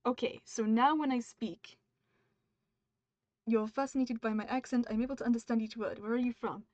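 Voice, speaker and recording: A woman's voice: fairly high pitch, steady tone, moderate pace, darkish tone, no room sound. A woman from the UK streaming her writing session, reading her draft aloud and stopping mid-sentence to make edits and chat with viewers. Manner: upbeat and friendly with viewers. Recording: dull, muffled stream mic.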